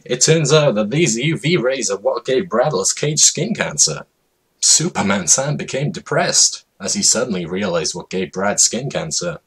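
A man with a husky voice giving a mock-dramatic, emphatic comedic reading of a story as its narrator. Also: lowish voice, fairly bright tone, rough recording.

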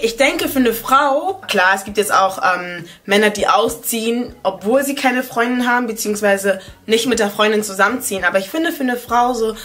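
A woman's voice: fairly high pitch, moderate pace, hardly any pauses. A woman in her twenties, from Ghana, chatting casually to camera at home as a vlogger, dropping playful English asides. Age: 20s. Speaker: beauty and lifestyle vlogger. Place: living room at home, indoors.

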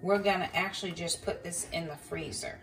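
A woman's voice: lowish voice, fairly even intonation, fairly quick, a bit bright. Chatty mom vlogger in her kitchen. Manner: chatty, explaining step by step with ums. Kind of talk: casual cooking walkthrough. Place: home kitchen counter indoors. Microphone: phone camera pointed down at the counter. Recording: voice a bit far from the mic, faint background noise.

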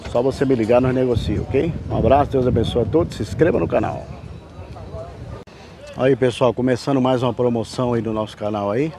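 A man from Brazil giving a folksy, chatty sales pitch while filming cars at an outdoor car fair on a handheld camera. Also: a little fast, mellow, slight background noise. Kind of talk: walkaround sales vlog. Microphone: handheld camera mic, filming.

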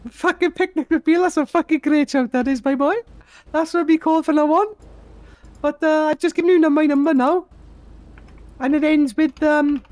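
A woman's voice: high-pitched, fairly even intonation, normal speed, darkish tone, no echo. A woman, brash and flirty, swearing freely and calling people pet names in an improvised comedy sketch.

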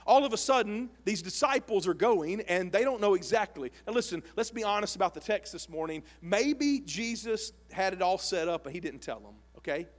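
A man in his forties preaching a sermon on a church stage into a handheld mic, animated and emphatic, rising to shouts. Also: high voice, moderate pace, hardly any pauses. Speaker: pastor. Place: large church sanctuary stage with audience. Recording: long hall reverb.

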